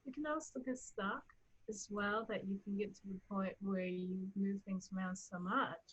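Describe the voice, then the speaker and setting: A woman being interviewed as a writer and director, speaking reflectively and haltingly, rephrasing as she goes and often breaking into laughter. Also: medium pitch, fairly even intonation, muffled recording, a little hiss or hum. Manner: laughs readily while talking.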